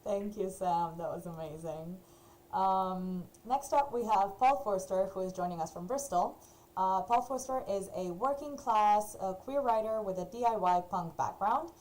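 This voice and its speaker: A woman's voice: medium pitch, normal speed, a little mellow, poor audio. A woman hosting an online book launch, reading out an author's biography with frequent hesitations. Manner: hesitant, many uhs. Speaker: event host. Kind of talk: introducing readers at an online event. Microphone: video call.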